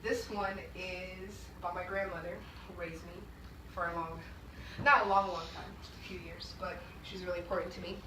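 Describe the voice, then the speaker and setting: A young woman from Rwanda performing spoken-word poetry live in a small art gallery, with emphatic, rhythmic delivery and sudden loud bursts, between pieces chatting to the audience. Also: fairly low pitch, fairly even intonation, normal speed, a little hiss or hum. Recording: echoey gallery room, mic far off.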